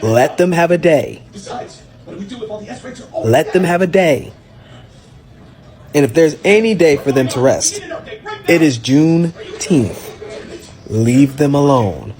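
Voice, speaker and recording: A man's voice: fairly high pitch, lively intonation, slow, pauses often, darkish tone. A man giving an emphatic opinion monologue in short, repeated phrases. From Haitian background.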